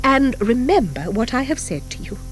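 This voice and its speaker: Woman acting a high, fussy witch headmistress. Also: big pitch swings, normal speed, soft background music. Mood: dismissive. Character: fussy, chiding but kindly headmistress of a witches' school. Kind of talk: audiobook character acting.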